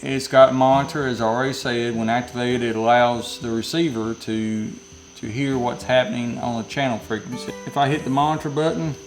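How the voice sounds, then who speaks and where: A man's voice: medium pitch, fairly even intonation, a little fast, soft background music, low-quality recording. A man with a Southern US accent giving a casual, rambling product review from inside a metal building, often correcting himself mid-sentence. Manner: rambling, self-correcting, folksy.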